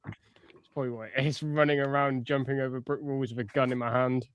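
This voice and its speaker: A man's voice: medium pitch, little pitch movement, a little fast, clear, resonant tone. A man in his thirties on a podcast, bantering in a crude, self-mocking, joking way. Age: 30s.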